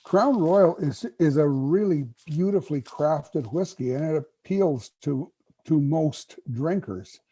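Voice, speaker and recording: Husky, mellow man who keeps clearing his throat. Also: on the high side, lively intonation, a little slow. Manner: clears his throat often, emphatic and knowledgeable. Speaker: guest whisky expert. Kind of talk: casual interview talk.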